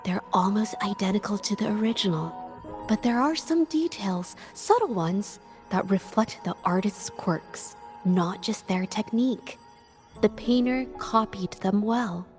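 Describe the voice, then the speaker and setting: A woman voicing a gentle, earnest young painter in a video game, speaking softly and hesitantly but with quiet resolve. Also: medium pitch, lively intonation, faint music behind, close to an everyday voice. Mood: careful, thoughtful. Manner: hesitant, soft-spoken, halting. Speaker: game character.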